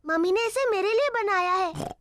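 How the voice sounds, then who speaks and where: A woman's voice: extremely high pitch, lively intonation, moderate pace, a bit bright, dry recording, studio quality. A woman voicing a giggly, bossy little girl piglet in a children's cartoon dub, playful and assertive. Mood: bossy, proud.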